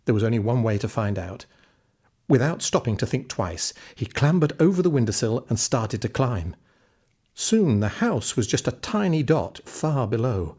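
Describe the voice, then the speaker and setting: A man narrating a children's fairy tale in an expressive, scripted storytelling style. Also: medium pitch, normal speed, slightly rough. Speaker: audiobook narrator.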